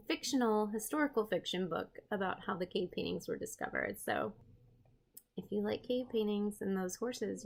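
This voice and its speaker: A woman hosting an art read-aloud, expressive and playful, with chatty, self-deprecating asides to her viewers. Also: medium pitch, creaky. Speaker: host, art teacher reading a picture book aloud.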